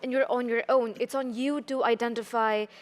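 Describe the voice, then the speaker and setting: A woman's voice: on the high side, fairly even intonation, moderate pace. A woman with an Indian accent speaking as a panelist at a live discussion, earnest and persuasive, often checking in with "right?". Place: conference hall.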